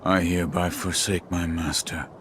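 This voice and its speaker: An actor playing a stoic, obedient shinobi warrior in a video game, terse and solemn. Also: male voice, low-pitched, little pitch movement, moderate pace, a bit bright, slight background noise. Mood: solemn obedience. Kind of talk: video game cutscene acting.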